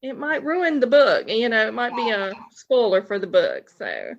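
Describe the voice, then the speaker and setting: A woman's voice: on the high side, sing-song, moderate pace, gravelly. A woman talking casually as a guest in an informal conversation, recorded in dull, lo-fi sound. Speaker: podcast guest, novelist. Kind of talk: casual chat. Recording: dull, lo-fi audio.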